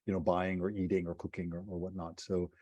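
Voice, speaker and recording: A man in his fifties with an American accent talks at length on a webinar call, relaxed and a little self-deprecating. His voice sits low and warm and mellow. The sound is dry and close. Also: quick pace, no echo, muffled recording. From United States. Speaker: nonprofit CEO, panel guest. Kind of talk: casual webinar talk. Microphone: video call.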